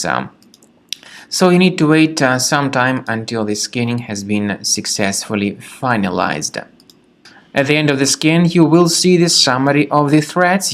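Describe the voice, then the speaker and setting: A man narrating an instructional software tutorial in a semi-scripted way, with halting, deliberate phrasing. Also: mid-range voice.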